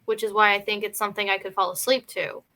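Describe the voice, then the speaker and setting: A woman's voice: medium pitch, level tone, quick pace, sharp tone. A woman talking casually about movies as a panelist on a review show. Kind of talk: casual film discussion.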